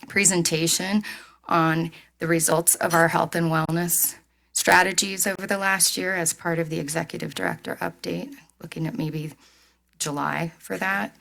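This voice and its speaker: A woman presenting a staff report at a board meeting in a steady, businesslike way. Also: on the low side, moderate pace, gravelly, rough recording.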